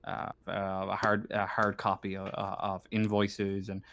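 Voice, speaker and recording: A man running a casual online tabletop game as game master, narrating and ruling in a halting, hesitant way. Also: fairly low pitch, completely flat delivery, a bit bright, slight background noise.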